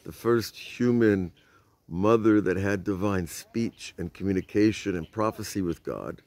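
A man's voice: fairly low pitch, a little mellow, dry recording. An older man, a rabbi, giving an informal Torah lesson outdoors at night, filmed on a phone held at arm's length, telling the story conversationally and putting rhetorical questions to his listeners. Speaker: rabbi teaching. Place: outdoors at night by old stone walls. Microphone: phone camera at arm's length.

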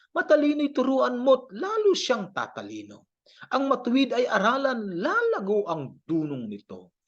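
Filipino male, high voice, lively swooping intonation, declamatory scripture reading, dull lo-fi recording. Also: breathy edge. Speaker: audio Bible reader.

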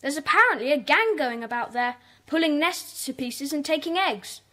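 A woman's voice: sits high, expressive, normal speed, sharp tone. A woman playing a sensible, bossy schoolboy club leader in a children's audio drama, decisive and orderly.

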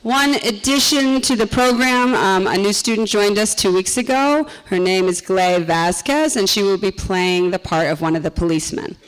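A woman's voice: mid-range voice, animated, normal speed, hardly any pauses, clean tone, poor audio. A middle-aged woman, a drama teacher, speaking live to an audience in a school auditorium to introduce a play. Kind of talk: live welcome speech to an audience.

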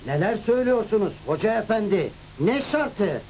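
High-pitched stern governor. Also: male voice, very animated, fairly quick, a little mellow, low-quality recording. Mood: stern, questioning. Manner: formal, interrogating, commanding. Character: stern, indignant male district governor, authoritative. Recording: hissy old cartoon soundtrack.

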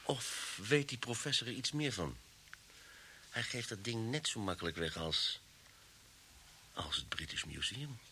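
A keen, plain-spoken detective in a radio play. His voice is bright and forceful, over faint tape hiss. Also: fairly low pitch, expressive, unhurried, long pauses, exaggerated voice. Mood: pondering. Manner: direct, inquisitive. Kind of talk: old Dutch radio drama acting.

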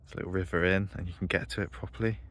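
A man's voice: low-pitched, fairly even intonation, racing, a bit bright. A man vlogging outdoors on his farm, chatting casually and thinking aloud as he walks. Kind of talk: casual outdoor vlog narration. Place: outdoors on a farm by a ditch and fields.

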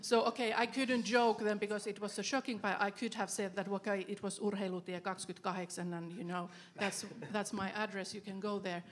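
A woman, speaking thoughtfully as a panelist into a handheld mic, with frequent filler pauses. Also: mid-range voice, fairly even intonation. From Finland. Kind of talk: panel discussion. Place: event stage with panel tables, indoors.

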